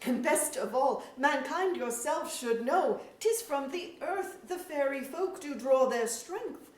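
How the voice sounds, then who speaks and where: A woman's voice: high-pitched, expressive, slow pace. A woman playing a proud, weary fairy king in a staged reading of a verse drama, declaiming formally. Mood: grave, aggrieved. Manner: formal, declamatory, archaic phrasing. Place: museum auditorium stage. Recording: echoey auditorium, recorded from far off.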